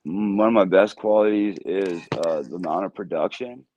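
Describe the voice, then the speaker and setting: A man with a deep voice, a music artist answering interview questions in a loose, easygoing way and laughing often. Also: moderate pace, a little mellow, lo-fi sound, slight background noise.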